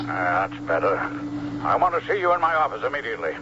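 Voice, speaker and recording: An actor playing an imperious, irascible emperor barking orders in a blustering manner in a radio drama. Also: male voice, fairly low pitch, sing-song, fast, muffled recording. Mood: irate, commanding. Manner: peremptory, blustering. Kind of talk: 1970s radio drama acting. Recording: noisy, band-limited old radio.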